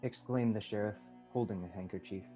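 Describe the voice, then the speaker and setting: A man's voice: lowish voice, a little fast. A young man reading scripted narration as the storyteller of a student radio drama. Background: soft music.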